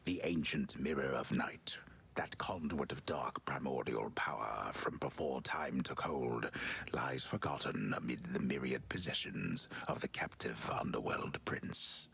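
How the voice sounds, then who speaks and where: Super deep creaky storyteller for a myth game, hushed and solemn, noise behind. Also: male voice, unhurried, heavily acted. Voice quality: gravelly, hushed. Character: grave old-world male storyteller of myth. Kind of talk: solemn scripted game narration.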